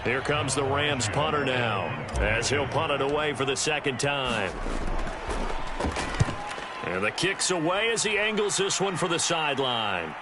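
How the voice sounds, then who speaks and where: A man's voice: medium pitch, expressive, unhurried, a loud crowd, lightly acted. A man voicing a polished American play-by-play football announcer in a sports video game. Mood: steady, informative. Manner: crisp announcer delivery, addressing a co-commentator. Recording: very noisy stadium ambience behind. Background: stadium ambience.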